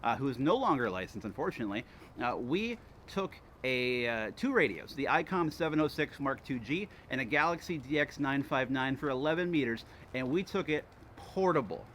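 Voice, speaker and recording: A man's voice: medium pitch, expressive, normal speed, a bit bright, slight background noise. An American man chatting casually and enthusiastically in a folksy way, as the host of a hobby vlog. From Wisconsin, United States. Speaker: host of a ham radio hobby channel. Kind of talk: casual vlog chat, reading out viewer responses.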